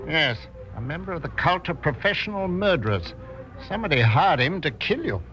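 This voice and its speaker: An actor in 1940s radio style playing a blunt, retired army colonel with a British accent, on a thin old recording. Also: male voice, fairly high pitch, sing-song, fairly quick, a bit bright, soft background music, rough recording. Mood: blunt, assured.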